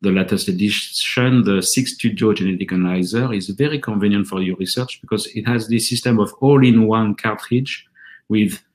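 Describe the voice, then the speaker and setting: A man with a low voice and a French accent presents at a conference. He speaks in an earnest, measured way and sometimes restarts a phrase. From France. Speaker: company presenter.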